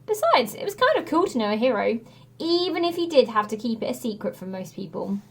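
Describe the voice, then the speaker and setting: A woman reading a children's story aloud as a teacher would to a class, with expressive narration. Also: a little high, a little fast, husky.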